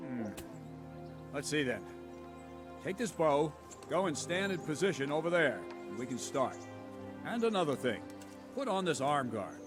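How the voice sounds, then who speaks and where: An actor playing a gruff, seasoned guard captain in a medieval video game, instructing in a brisk, commanding way. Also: male voice, fairly high pitch, sing-song, over music. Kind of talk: video game drama acting.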